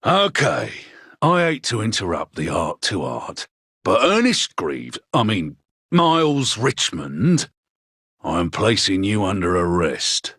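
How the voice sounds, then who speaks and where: An actor playing a gruff, easily baffled police inspector in an animated mystery game, with a husky voice. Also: male voice, medium pitch, no room sound, clean studio sound, full character voice. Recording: faint music behind the voice.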